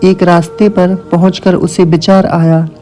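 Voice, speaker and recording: A man's voice: sits high, flat delivery, a little slow, dark tone, soft background music. A man narrating a scripted moral tale as a storyteller.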